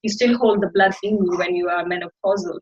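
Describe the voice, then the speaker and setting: A woman guest giving explanatory, conversational interview answers in a deliberate, instructive way, repeating phrases for emphasis. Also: medium pitch, slightly rough, lo-fi sound. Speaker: podcast guest, teacher on women's cycles.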